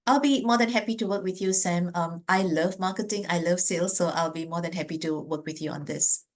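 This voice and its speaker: A woman from Malaysia with a Malaysian accent and a low voice answers business owners' questions during an online webinar. She speaks earnestly and stops often to restart her sentences and fill pauses with "um". She is heard through a computer mic on a video call. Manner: earnest, many fillers and restarts. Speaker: business advisor.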